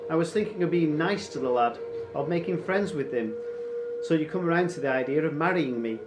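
A man's voice: a little high, animated, a little fast, reverberant, music in the background, voice pushed up, close to an everyday voice. A man voicing a cruel Viking warlord in a storybook, taunting and boastful with false friendliness. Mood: menacing, amused. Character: cruel, mocking Viking warlord, swaggering villain.

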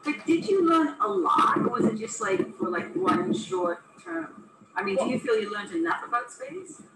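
A woman's voice: high-pitched, big pitch swings, moderate pace, gravelly. A middle-aged woman chatting outdoors, asking a girl probing questions. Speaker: interviewer. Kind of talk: casual chat. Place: balcony outdoors. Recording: echoey, distant, noisy phone recording.

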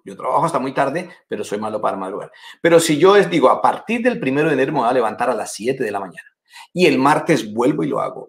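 Male host, 40s, conversational advice to camera, gestures a lot, home office mic. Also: medium pitch. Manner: warm conversational, lively hand gestures. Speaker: financial educator and host. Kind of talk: casual advice talk to camera. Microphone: podcast mic in front.

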